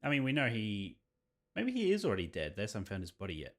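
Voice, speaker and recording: A man's voice: on the low side, wildly swooping pitch, quick pace. A man casually commentating on a game as he plays, thinking aloud and reading on-screen text. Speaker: game streamer. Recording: game music behind the voice.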